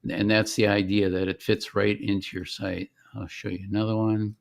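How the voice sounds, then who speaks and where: A man presenting a software demo in an informal, conversational way, as the founder showing his product on screen. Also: fairly low pitch, level tone, moderate pace, vocal fry. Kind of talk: informal screen-share demonstration.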